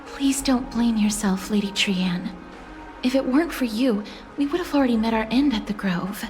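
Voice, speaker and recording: Woman as a higher-voiced, dutiful young warrior. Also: moderate pace, soft background music, natural voice. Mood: concerned. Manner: formal, gentle, earnest. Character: dutiful young woman, polite, earnest, tactful fighter. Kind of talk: dramatic video game acting.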